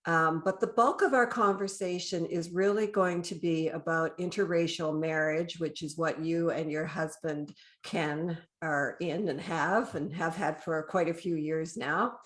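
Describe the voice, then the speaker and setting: A woman's voice: sits low, steady tone, a little slow, hardly any pauses. A woman with a Canadian accent, speaking as the host of a podcast interview.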